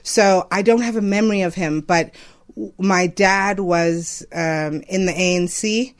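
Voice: mid-range voice, unhurried. Late thirties guest from zambia, earnest and reflective, stops and restarts a lot. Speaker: interview guest, foundation representative. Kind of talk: reflective conversational interview.

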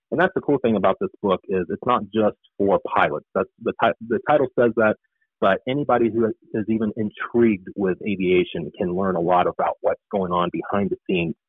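Fast-talking low-voiced man on a phone line. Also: breathy edge, no echo. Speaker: interview guest, author and air traffic controller. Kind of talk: conversational radio interview explanations.

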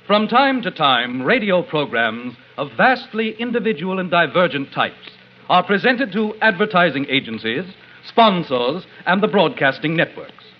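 A man announcing in formal, grandiloquent, carefully phrased 1940s radio style. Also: high voice. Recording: muffled, noisy 1940s radio recording.

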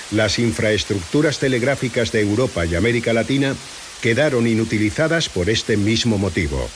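An actor playing a grave documentary narrator of a disaster chronicle, solemn, measured and deliberate. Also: male voice, mid-range voice, a little hiss or hum, lightly acted. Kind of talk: scripted documentary-style narration. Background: music.

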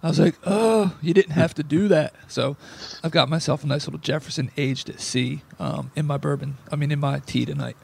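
A man hosting a sports podcast who has lost most of his voice and sounds hoarse, talking in a rambling, self-deprecating way. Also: on the high side, fairly quick. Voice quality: gravelly. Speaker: podcast host. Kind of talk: casual sports podcast chat.

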